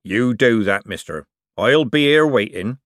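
A man doing a gruff, sly old forger. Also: mid-range voice, animated, a little slow, fairly bright tone, dry recording, studio quality. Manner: growling, coarse working-class speech.

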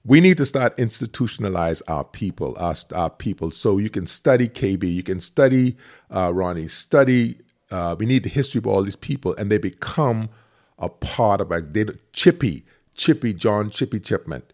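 A man's voice: on the low side, lively intonation, normal speed, a little mellow. A man hosting an interview, hesitant and restarting his sentences often. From Bahamas. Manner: hesitant, many restarts and fillers. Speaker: host. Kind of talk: interview host talk.

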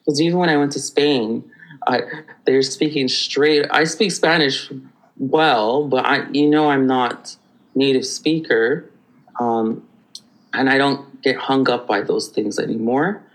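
A man from Hawaii, a podcast guest telling stories in an animated, rambling way with many restarts. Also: mid-range voice, unhurried, rough recording. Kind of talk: conversational storytelling.

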